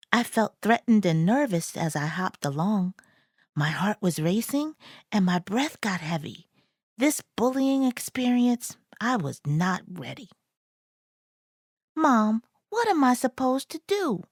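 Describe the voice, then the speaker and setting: Young storybook bunny girl, breathy and anxious, with lively swoops acted by a woman. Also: fairly high pitch, takes it slowly, no echo, clean studio sound, exaggerated voice. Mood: anxious, pleading.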